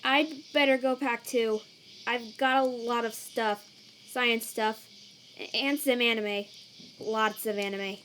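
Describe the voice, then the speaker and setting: An anxious female cartoon monster scientist as a woman acts her out speaks in a high voice. She is earnest and nerdy, hesitating as she goes. Faint insect sounds fill the night behind her. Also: steady tone, slow pace, a bit bright, a little hiss or hum. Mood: awkward. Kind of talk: comic dub acting. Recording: cricket night ambience behind.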